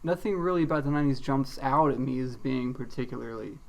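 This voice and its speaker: A man with a mid-pitched voice chats as a podcast co-host. His talk is casual and loose, with jokes delivered in a dry, deadpan way. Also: quick pace. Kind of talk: casual comedy podcast chat.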